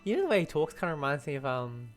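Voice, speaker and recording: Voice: medium pitch, very animated, racing. Casual game streamer reacting over music.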